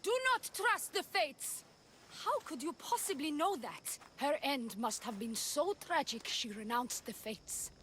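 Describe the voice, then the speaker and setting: A woman playing a proud, imperious high priestess of ancient Greece in a video game, with a formal, theatrical delivery. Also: lively intonation, a little slow, a bit bright, slight background noise. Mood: grieving. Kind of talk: video game cutscene acting.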